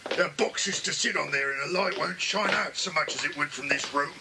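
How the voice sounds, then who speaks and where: An actor playing a bossy, menacing crook who leads a gang and barks questions in a children's audiobook drama. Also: male voice, on the high side, a little fast, creaky. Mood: urgent, bossy. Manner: barking, bullying questions. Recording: noisy old cassette transfer with clicks.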